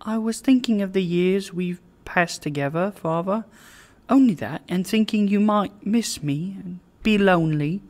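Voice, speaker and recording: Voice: medium pitch, measured pace, darkish tone, pitched above the performer's own voice, close to an everyday voice. A narrator voicing an affectionate, teasing young woman, a devoted daughter and bride, speaking playfully and earnestly in an audiobook. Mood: tender.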